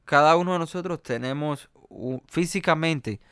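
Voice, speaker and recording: Male jazz pianist, Cuban accent, resonant voice, halting conversational delivery. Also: on the high side, fairly bright tone, clean tone, no room sound, clean studio sound. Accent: Cuban Spanish. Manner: hesitant, many fillers and restarts. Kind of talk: conversational interview.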